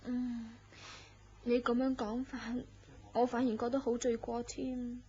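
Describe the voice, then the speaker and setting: An actress playing an affectionate married woman in a wistful secret romance, coy and expressive in Cantonese radio drama style. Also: fairly high pitch, unhurried, breathy edge. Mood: gentle, wistful. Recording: dull lo-fi audio, loud background noise.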